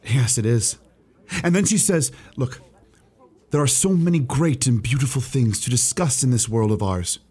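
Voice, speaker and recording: A man's voice: medium pitch, moderate pace, darkish tone, breathy edge, close to an everyday voice. A man playing an earnest, lovestruck young shop clerk in a radio play, eager and frank, with hesitant stammers.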